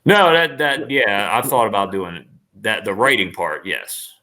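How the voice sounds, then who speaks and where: Low-pitched, bright-voiced man chatting enthusiastically. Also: moderate pace, low-quality recording. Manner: enthusiastic, rambling, repeats phrases. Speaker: interview guest, online performer. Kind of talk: casual interview chat.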